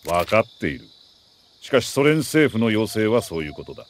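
An actor playing a middle-aged army major who briefs an agent in a crisp, authoritative, deliberate way in a video game. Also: male voice, fairly low pitch, big pitch swings. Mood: grave, commanding. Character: male military major, mission commander, precise and earnest. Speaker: mission commander briefing an agent.

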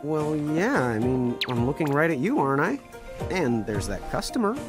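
A woman's voice: low-pitched, very animated, moderate pace, music in the background. A woman voicing an easygoing, flippant teenage twin brother in a video game fandub, casual and teasing.

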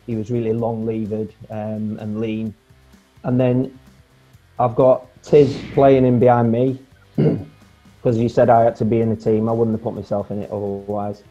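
Low mellow man on a video call. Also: measured pace. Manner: relaxed, rambling, many fillers and restarts. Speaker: guest, former footballer. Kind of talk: casual video-call chat. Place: home room, indoors. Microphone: earphone mic on a video call. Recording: dull lo-fi video call audio with faint music.

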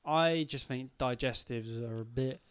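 A man's voice: medium pitch, unhurried, smooth. A young man co-hosting a casual podcast, blunt and sweary with a dry manner. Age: young adult. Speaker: podcast co-host. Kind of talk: casual banter. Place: small home room. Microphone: studio mic, headphones on.

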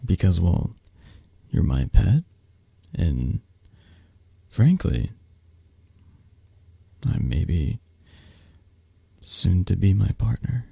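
Husky, murmuring mafia boss lover played by a man. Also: big pitch swings, drawn-out words, pauses often, dry recording, exaggerated voice. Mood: teasing, possessive. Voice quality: breathy, husky low voice. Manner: soft, intimate, hesitant murmuring with soothing reassurance. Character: possessive, flirty male mafia boss doting on his lover. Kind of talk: ASMR romantic roleplay.

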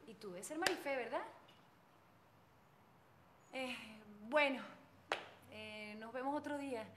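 Isabel, a lively neighbor woman. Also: high-pitched, slow, background noise. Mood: chatty. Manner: bossy and soothing by turns, theatrical. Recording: music playing behind.

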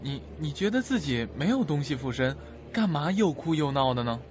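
An actor playing a steady, reassuring male manager in a suspense radio drama, coaxing and probing. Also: a little high, moderate pace, slight background noise. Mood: calming, probing. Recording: dull, dry audio.

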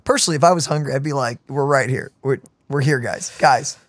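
A woman giving casual, playful let's-play commentary in an animated manner. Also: extremely low pitch, brisk, no room sound. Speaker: gaming channel host. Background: faint game music.

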